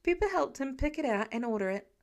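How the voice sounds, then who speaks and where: A woman's voice: sits high, lively intonation, a little fast. A woman reading fiction aloud as an amateur audiobook narrator, in scripted storytelling style.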